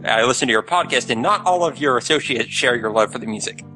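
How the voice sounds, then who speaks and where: A man's voice: mid-range voice, expressive, fast, pushed and bright. A man hosting a podcast about video game music, chatting casually and teasing his guest with running jokes. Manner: jokey, teasing banter. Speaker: podcast host.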